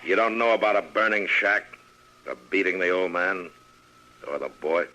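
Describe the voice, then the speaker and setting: An actor playing a stern, tough frontier marshal in an old-time radio western, terse and authoritative. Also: male voice, low-pitched, normal speed, pushed and bright, low-quality recording. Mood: hard, threatening.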